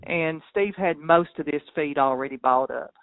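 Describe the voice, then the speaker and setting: A man's voice: a little high, moderate pace, no echo. A man who farms cattle, chatting casually and ramblingly on a phone call in a plain-spoken, folksy way, over a tinny line. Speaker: local cattle farmer.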